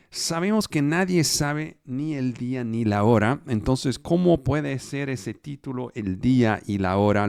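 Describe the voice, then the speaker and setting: A man teaching a live online Bible study in Spanish, which is not his first language, explaining scripture step by step and pausing on fillers. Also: mid-range voice, a little mellow, clean tone, dry recording, studio quality. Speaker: preacher.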